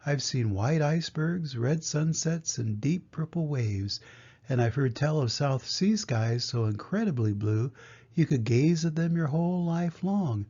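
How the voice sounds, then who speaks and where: A man's voice: fairly high pitch, expressive, unhurried, mellow, breathy edge. A man voicing a seasoned old male sailor from a storybook, talking like a salty, musing storyteller. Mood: musing, worldly. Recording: dull lo-fi home recording.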